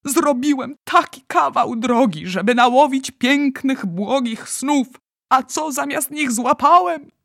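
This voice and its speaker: A woman voicing an excitable, bossy male giant in a storybook, exclaiming theatrically in garbled made-up words. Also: mid-range voice, takes it slowly, breathy edge, no echo, studio quality. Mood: anguished, angry. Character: excitable male giant dream-catcher, bossy, dramatic, word-mangling.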